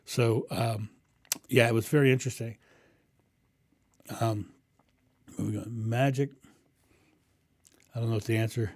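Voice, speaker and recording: A man with a booming voice hosting a live stream, answering viewers' questions in a rambling, animated way and acting out thoughts. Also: a little slow, long pauses, dry recording, clean studio sound. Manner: animated, acts out inner thoughts with raised voice; rambling with frequent ums and restarts. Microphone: condenser mic through a mixing board.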